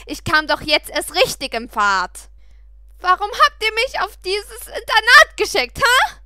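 A woman's voice: very high voice, sing-song, measured pace, no room sound, clean studio sound, voice pushed up, heavily acted. Woman acting a furious, defiant teenage girl. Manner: sarcastic, forceful, confrontational. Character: angry, defiant, foul-mouthed sixteen-year-old girl.

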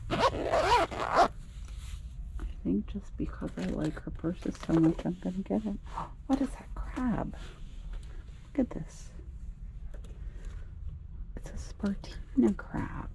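A woman quietly narrating her shopping trip for a reseller vlog, half whispering as she browses an antique mall. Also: medium pitch, creaky, background noise. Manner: hushed, half-whispered remarks while browsing. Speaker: reseller vlogger. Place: indoors in a large antique mall. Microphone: body-worn action camera.

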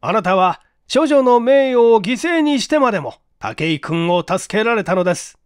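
A man voicing a courteous, earnest police detective chief explaining things in a measured, polite way in an audiobook. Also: high-pitched, very animated, clean tone, no echo, studio quality. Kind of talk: dramatic audiobook dialogue.

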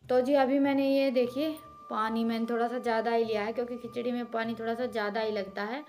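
A woman's voice: a little high, flat delivery, quick pace, a little mellow, faint music behind. Chatty village woman explaining her cooking. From Haryana, India. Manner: homely, chatty, explanatory, addresses viewers with "ji". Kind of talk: casual voice-over explaining cooking and chores.

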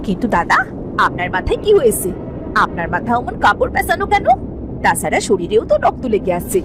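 Woman acting cartoon sister-in-law, callous, complaining, very lively intonation, music behind. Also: mid-range voice, fairly quick, close to an everyday voice. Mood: grudging. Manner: whining, accusatory. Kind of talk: Bengali cartoon family drama. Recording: music under the voice.

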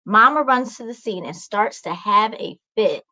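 A woman's voice: mid-range voice, expressive, moderate pace, bright, forceful tone. A woman in her thirties, a children's author reading expressively on a video call from a small room. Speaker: children's author, guest reader. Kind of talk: storybook read-aloud.

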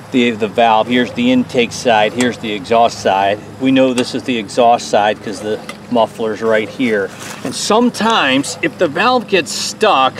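A man's voice: mid-range voice, a little hiss or hum. A man in his forties hosting a hands-on small engine repair tutorial outdoors on a lawn, explaining each step in a plain, folksy way.

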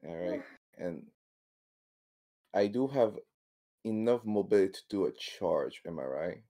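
A man's voice: low-pitched, animated, measured pace, mellow. A man chatting casually as a player in a tabletop game.

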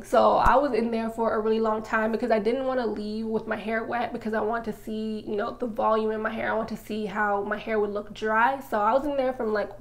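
A woman in her twenties, a hair vlogger, narrating in a casual, chatty voice-over full of filler words like "like". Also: fairly high pitch.

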